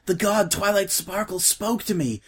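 A man voicing a frail, starving male changeling who clings to his faith, pleading in a raised, husky, breathless voice. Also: sits high, moderate pace, pitched above the performer's own voice. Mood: fervent pleading.

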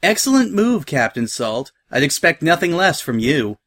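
A man's voice: fairly high pitch, big pitch swings, moderate pace, a bit bright, voice pushed up. A man voicing a clever female unicorn adventurer in an audio story, wry, composed and articulate. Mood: cool, wry.

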